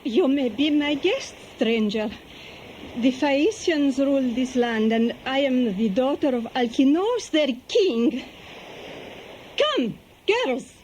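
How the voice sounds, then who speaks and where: A young woman playing a gracious, composed Greek princess in a 1970s radio play, formal and measured. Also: high voice, lively intonation, a little mellow, a little hiss or hum, low-quality recording.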